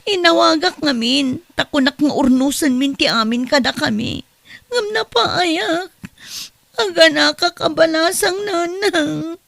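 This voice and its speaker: A woman acting a jilted young Ilocano daughter in a radio-style comedy drama. Her voice is high and creaky, with a sharp edge. She pours out her grievances in a tearful, whining way. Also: unhurried, full character voice. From Philippines. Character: jilted, pregnant young daughter, whiny and aggrieved.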